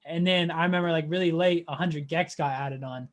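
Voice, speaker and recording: Higher-pitched young man, casual interviewer. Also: steady tone. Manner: loose, halting, slangy. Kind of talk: casual chat.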